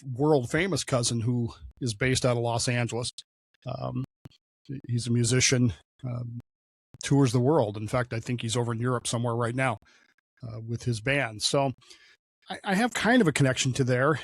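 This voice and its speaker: A man's voice: medium pitch, dry recording. Man musing aloud in a dry room. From Oklahoma, raised in Denver. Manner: conversational, digressive, frequent fillers. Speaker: show host. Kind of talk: solo opinion monologue.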